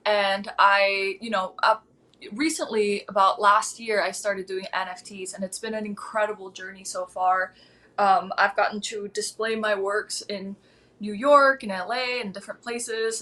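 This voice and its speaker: A woman's voice: mid-range voice, bright, forceful tone. A woman in her twenties, a guest artist, talking about her work in an upbeat, enthusiastic way over a video call. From East Coast of the United States. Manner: upbeat, enthusiastic, with frequent fillers. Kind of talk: casual interview answers.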